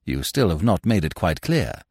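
A man voicing a suave, unflappable young English gentleman in an audiobook, wordy, elaborately formal and drily courteous. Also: sits low, big pitch swings, moderate pace, slightly rough, dry recording, studio quality, voice pushed down, heavily acted. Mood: patient, quizzical. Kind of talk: audiobook character voice.

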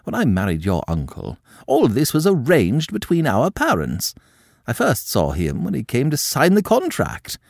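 Male reader doing prudish aristocratic matron, high-pitched, indignant, wildly swooping delivery. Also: wildly swooping pitch, moderate pace, vocal fry, darkish tone, dry recording, studio quality, exaggerated voice. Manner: shocked, scolding, high-pitched. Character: haughty prudish aristocratic older countess.

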